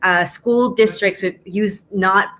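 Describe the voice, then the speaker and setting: A woman from Mexico speaking as a guest in a casual online discussion, explaining things haltingly as she thinks aloud, with faint noise behind her. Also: medium pitch, steady tone, a bit bright. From born in Mexico. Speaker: panel guest. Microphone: live video call.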